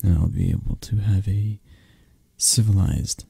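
Man acting a dark, husky mafia boss lover. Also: steady tone, no room sound, clean studio sound, exaggerated voice. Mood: cold, possessive, jealous. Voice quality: breathy, husky, low.

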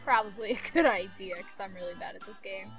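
A young woman giving playful, excitable, singsong commentary as she hosts a casual game playthrough. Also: mid-range voice, fairly quick. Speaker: gaming video host. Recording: game music behind the voice.

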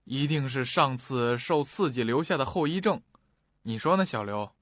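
A man's voice: medium pitch, moderate pace, a bit bright, no room sound, muffled recording, natural voice. An actor playing a concerned man visiting a sick colleague in a radio drama.

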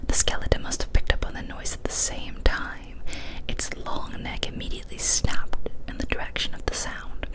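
A young adult woman reads a horror story as ASMR in a breathy whisper, careful and deliberate, close to a studio microphone. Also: low-quality recording. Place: small cozy indoor room. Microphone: close studio condenser mic on a boom arm.

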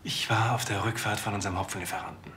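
An actor playing a brisk brewery man, answering questions in a TV crime drama. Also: on the low side, steady tone, pushed and bright, a little hiss or hum. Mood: frank, animated. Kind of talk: naturalistic TV crime drama acting. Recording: echoey room.

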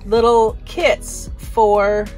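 A woman's voice: mid-range voice, little pitch movement, very slow, music in the background, rough recording. An American woman in her thirties giving a casual, conversational recap as a vlog host, talking inside a small camper.

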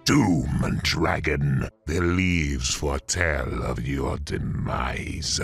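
Menacing doom-saying creature, extremely deep, husky, very slow, audio drama. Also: male voice, soft background music, heavily acted. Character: ominous, menacing hooded villain creature.

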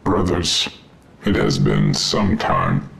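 An actor playing a giant, imperious armored cosmic villain in a superhero video game, with a very deep, gravelly voice. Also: male voice, big pitch swings, echoey room, slight background noise, full character voice. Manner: slow, commanding. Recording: voice under loud game music.

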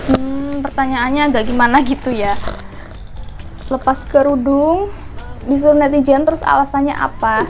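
Chatty young woman, high voice. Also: fairly even intonation, normal speed, pauses often, over music. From Central Java, Indonesia. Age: 20s. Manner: chatty, often tags lines with "guys". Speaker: mukbang vlogger. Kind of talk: casual Q&A chat to viewers. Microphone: clip-on lapel mic.

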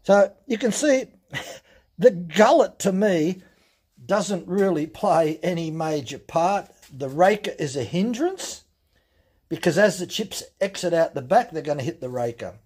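A man explaining things step by step in a relaxed, plain-spoken way during a workshop demonstration. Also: high-pitched, measured pace, breathy edge. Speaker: chainsaw channel host. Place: workshop bench.

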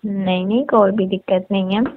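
A woman's voice: medium pitch, steady tone, brisk, slight background noise. A woman chatting casually on a recorded phone call in a playful, teasing manner.

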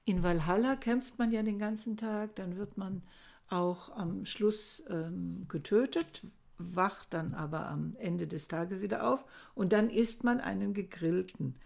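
An older woman giving a recorded lecture from her book-lined study, explaining old verses slowly and thoughtfully, with many hesitations. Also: medium pitch, gravelly, darkish tone. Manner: slow, thoughtful, with frequent hesitations. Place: home study lined with bookshelves. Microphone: webcam at desk.

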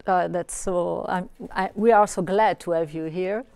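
Woman, interviewer, low-ish pitch, dry sound, friendly prompts. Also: fairly even intonation, a little slow, vocal fry, darkish tone, clear, resonant tone, no echo. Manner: friendly, laughs along, short prompts. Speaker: master of ceremony interviewer. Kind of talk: event interview host. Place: indoor event studio set.

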